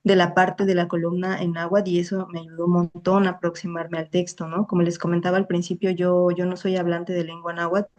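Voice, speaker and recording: A woman's voice: fairly low pitch, level tone, a little fast, hardly any pauses, darkish tone, dull audio. A young woman, answering questions about her research in an online talk. She speaks carefully, restarting phrases and tagging statements with a questioning "no". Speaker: invited lecturer. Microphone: online video call.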